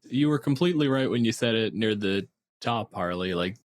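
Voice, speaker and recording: Low-pitched man explaining things casually. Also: expressive, a little fast. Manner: explanatory, analytical. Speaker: podcast host. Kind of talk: casual panel discussion.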